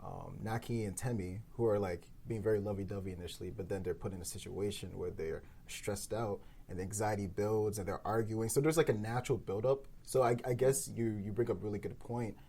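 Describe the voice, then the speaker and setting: A man hosts a casual podcast chat, relaxed and encouraging. His voice is very low and gravelly, with a dark, mellow tone. A faint hiss sits behind him. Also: brisk, few pauses. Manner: relaxed, affirming, frequent "mm-hmm" and "yeah". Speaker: podcast host and writer.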